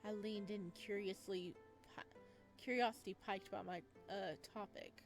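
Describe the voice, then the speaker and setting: A woman's voice: medium pitch, fairly even intonation, normal speed. A woman reading a visual novel aloud as a let's player, with chatty asides, over game music.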